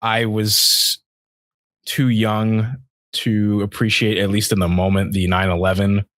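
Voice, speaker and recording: Low-voiced man talking sports casually. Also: flat delivery, unhurried, a bit bright, dry recording. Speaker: sports podcast co-host.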